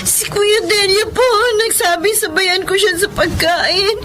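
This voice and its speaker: Very high-voiced, meek young maid. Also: female voice, measured pace, bright, forceful tone, heavily acted. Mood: hurt, protesting. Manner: deferential, hesitant. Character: meek, dutiful young daughter working as maid. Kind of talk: Filipino radio drama acting. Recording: noisy radio drama audio.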